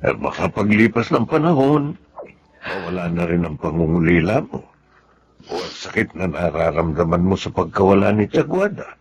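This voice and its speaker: An actor playing a kind, humble, awkward neighbor in a radio drama, hesitant and gently coaxing. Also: male voice, sits low, very animated, moderate pace, slightly rough, heavily acted. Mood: consoling. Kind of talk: Tagalog radio drama acting.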